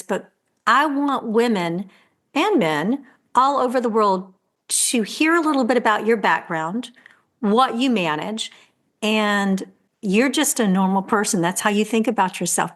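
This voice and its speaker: A woman's voice: mid-range voice, expressive, moderate pace. A woman hosting a podcast, introducing and interviewing her guest in an upbeat, emphatic, effusive way. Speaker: podcast host.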